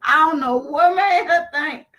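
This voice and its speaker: A woman telling a travel story in casual chat, animated and dramatic, jumping to high exclamations. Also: unhurried, highs cut off. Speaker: vlogger.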